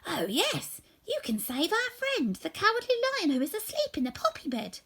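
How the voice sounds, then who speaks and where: Woman as an earnest, animated straw scarecrow. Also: very high-pitched, normal speed, pitched above the performer's own voice, heavily acted. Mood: urgent. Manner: earnest, persuasive, organising. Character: helpful, persuasive scarecrow with straw-stuffed head. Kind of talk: storybook character voice.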